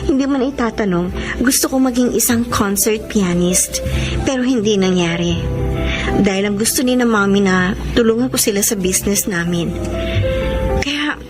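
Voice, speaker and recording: A woman playing a frank young Filipina in a radio drama, friendly yet firm, expressive and mixing in English. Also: mid-range voice, moderate pace, natural voice. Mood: candid, earnest. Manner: expressive, mixes English into Tagalog. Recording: dramatic music under the voice.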